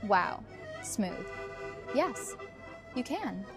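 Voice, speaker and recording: A woman's voice: mid-range voice, sing-song, very slow, full character voice. A woman playing a friendly, flirtatious stranger at a bar in a scripted radio drama. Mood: playful. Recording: music behind the voice.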